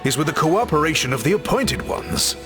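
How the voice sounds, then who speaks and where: A man's voice: on the high side, sing-song, brisk, over music. A man voicing a polite, composed scholar in a video game, with courteous, precise and formal phrasing. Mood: composed, explaining. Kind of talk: video game character acting.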